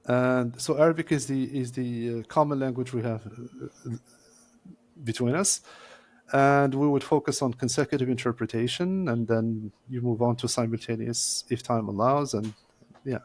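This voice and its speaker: Male guest, mid-range voice, patient and explanatory, hesitant fillers. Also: medium pitch. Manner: measured, explaining step by step with frequent "uh" pauses. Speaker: court interpreter, guest. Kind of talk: explanatory interview answers.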